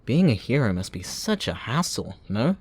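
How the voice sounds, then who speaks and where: A man's voice: mid-range voice, very animated, moderate pace, darkish tone. A man playing a gloating, sarcastic supervillain who taunts a captive hero in a mocking, playful, theatrical way, in a roleplay audio drama. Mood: callous bragging.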